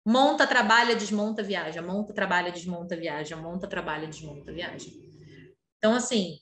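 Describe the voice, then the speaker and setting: A woman's voice: lowish voice, normal speed, fairly bright tone, roomy sound. A middle-aged Brazilian woman telling personal stories openly and expressively in a casual conversation. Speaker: interview guest.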